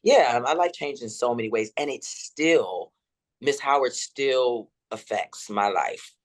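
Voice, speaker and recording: A woman in her fifties with a deep, rolling voice, telling her story dramatically as an interview guest. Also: slow pace. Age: 50s. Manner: dramatic and expressive.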